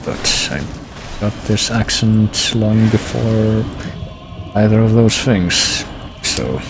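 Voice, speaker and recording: Male streamer, low voice with lively pitch swings, rambling commentary, game music underneath. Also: slow, gravelly. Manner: rambling, thinking aloud. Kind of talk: gameplay commentary.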